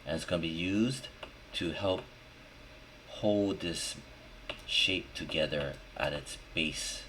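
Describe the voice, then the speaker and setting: A man giving a casual software tutorial, thinking aloud informally as he works and often checking in with the listener. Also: sits low, slow. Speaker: 3D modelling tutorial instructor. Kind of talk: casual screen-recorded software tutorial, talking while working. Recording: clearly noisy, with keyboard and mouse clicks. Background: background noise, typing and clicking.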